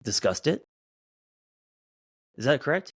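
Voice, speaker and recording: A man in his fifties co-hosting a podcast, chatting casually in an animated, rambling way with many restarts. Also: mid-range voice, a little slow, dry recording, clean studio sound. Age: 50s. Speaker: podcast co-host. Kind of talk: casual chat.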